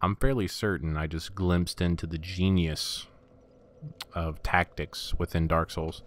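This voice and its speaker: Deep-voiced man doing wry game commentary. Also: fairly even intonation, a little slow. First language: not Russian. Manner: wry, self-deprecating, stammers when flustered. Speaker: gaming commentator. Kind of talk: casual gameplay commentary.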